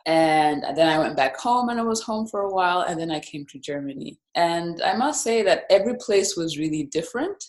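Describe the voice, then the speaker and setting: A woman from Zambia, a life and business coach, telling her story as a podcast guest in a reflective, measured way. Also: on the low side, animated. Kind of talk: podcast interview. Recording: roomy echo.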